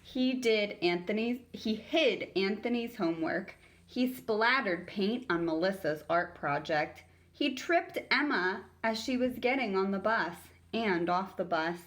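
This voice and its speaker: A woman's voice: mid-range voice, animated, unhurried, hardly any pauses, a bit bright. A woman reading a children's story aloud as a teacher would, narrating expressively for young listeners.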